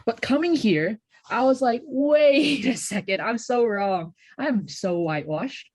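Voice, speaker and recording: A woman's voice: fairly high pitch, lively intonation, measured pace, a little mellow, dry recording. A young woman from Hong Kong co-hosting a podcast, chatting casually and reflectively, often saying "like". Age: young adult. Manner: reflective, peppered with "like". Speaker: podcast co-host. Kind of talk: casual chat.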